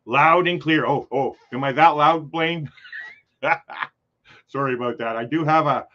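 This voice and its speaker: A man hosting a casual live stream with a loud voice and a loose, jokey, easily sidetracked way of talking. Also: fairly high pitch, a little slow. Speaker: livestream host. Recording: dull lo-fi livestream audio.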